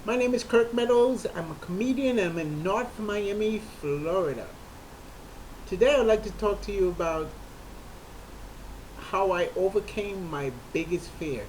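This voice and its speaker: A comedian, a man with a high voice for a man, telling a personal story in an earnest, halting way with frequent restarts. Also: a little mellow, slight background noise, low-quality recording. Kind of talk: personal talk to camera.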